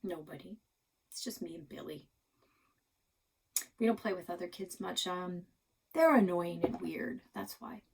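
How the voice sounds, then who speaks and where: A woman's voice: fairly low pitch, expressive, a little slow, vocal fry, long pauses, slightly rough, full character voice. Lady doing a sulky show-off little boy from a kids book, stubborn. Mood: sulky, defensive. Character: boastful, sulky young boy named Alex.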